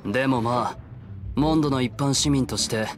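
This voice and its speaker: A man acts an aloof young tavern owner in a video game. The voice sits at a middle pitch, stern, formal and deadpan, with music clearly behind. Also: moderate pace, natural voice. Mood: reserved. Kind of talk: Japanese game voice acting.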